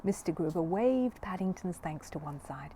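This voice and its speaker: A woman narrates a children's story expressively. Her voice sits slightly low and is dark and mellow. Some faint noise runs behind her in the recording. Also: animated, normal speed. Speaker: audiobook reader.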